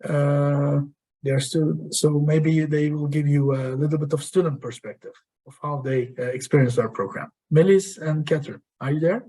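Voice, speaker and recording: Guy giving a halting talk on a video call, lots of uhs, kinda high for a man. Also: steady tone, warm tone, low-quality recording. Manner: hesitant, many fillers. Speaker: programme director. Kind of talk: presentation to prospective students.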